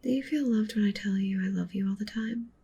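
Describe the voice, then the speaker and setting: A woman playing a doting, possessive yandere wolf witch girlfriend in an intimate, breathy whispered ASMR roleplay. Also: a little fast, dark tone. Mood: adoring, intense. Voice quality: breathy whisper with low creaky murmur. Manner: intimate, tender, clingy, coaxing.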